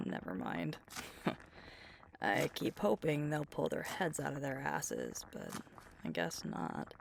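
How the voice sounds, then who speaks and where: A woman playing a sweet, teasing, affectionate werewolf girlfriend in an intimate ASMR roleplay, talking casually and haltingly, at times hushed. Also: deep voice, vocal fry, slight background noise, heavily acted, poor audio. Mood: exasperated.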